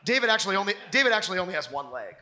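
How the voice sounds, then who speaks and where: A man's voice: high voice, sing-song, racing, a loud crowd. A man co-hosting a comedy podcast, playful and joking, recorded live at a venue. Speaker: podcast co-host. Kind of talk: comic banter on a live podcast. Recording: live venue with audience noise.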